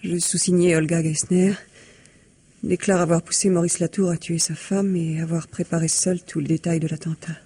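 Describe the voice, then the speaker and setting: Very husky, cornered female schemer. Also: on the low side, little pitch movement, moderate pace, mellow. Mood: grudging. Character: cornered, defiant scheming female murder accomplice. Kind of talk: 1950s French radio mystery acting.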